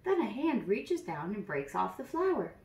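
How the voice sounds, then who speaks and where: A woman reading a picture book aloud to young children in an expressive storytelling manner, with simple phrasing. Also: mid-range voice, normal speed. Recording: roomy echo, distant from the mic.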